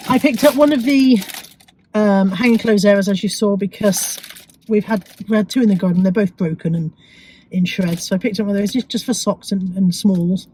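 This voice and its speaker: A woman with a cold, sounding croaky and sniffly, chatting casually to viewers about her shopping with self-mocking asides. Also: mid-range voice, darkish tone, slight background noise, low-quality recording. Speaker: vlogger. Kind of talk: chatty shopping haul vlog.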